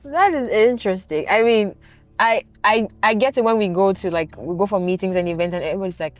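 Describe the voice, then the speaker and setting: A woman hosting a casual interview, friendly and teasing, with quick affirmations. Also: mid-range voice, no echo, lo-fi sound. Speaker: podcast host.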